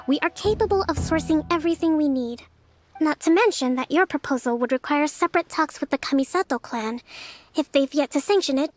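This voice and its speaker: High-voiced, composed young woman leader. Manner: polite, formal, articulate, firm. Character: composed, diplomatic young female island leader. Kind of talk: video game voice acting.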